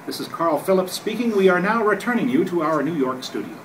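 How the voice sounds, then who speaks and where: A man's voice: high-pitched, expressive, brisk. An older man playing an earnest radio commentator reporting live, reading a 1930s radio play from a script. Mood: earnest, formal. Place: hotel meeting room at a convention panel. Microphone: camera across the table. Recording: distant camera mic, clearly noisy room.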